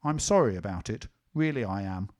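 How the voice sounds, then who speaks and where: A man's voice: lowish voice, animated, a little fast, a little mellow, close to an everyday voice. A man voicing a sleepy, earnest little boy who argues with his sister in a reasoning tone. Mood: earnest, scolding. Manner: earnest, reasoning, a bit stubborn.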